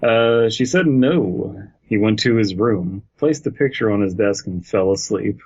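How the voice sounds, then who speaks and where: A man's voice: fairly low pitch, lively intonation, measured pace, darkish tone. Man chatting on a dull-sounding podcast mic. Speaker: podcast co-host. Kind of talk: casual joking group chat and story reading.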